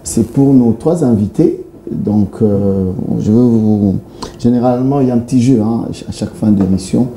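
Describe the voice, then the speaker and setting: A man's voice: medium pitch, dark tone. A man hosting a TV talk show, playful and laughing as he poses riddles to his guests. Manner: playful, laughs often, repeats questions slowly. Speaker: TV show host. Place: TV studio. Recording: echoey studio, faint background noise.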